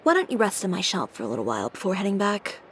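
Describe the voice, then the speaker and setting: A woman's voice: mid-range voice, big pitch swings, rapid-fire, slight background noise, close to an everyday voice. A woman voicing a proud, composed fashion designer with a fiery temper in a video game, talking in a dry, pointed way. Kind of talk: game voice acting. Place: recording studio. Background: game music.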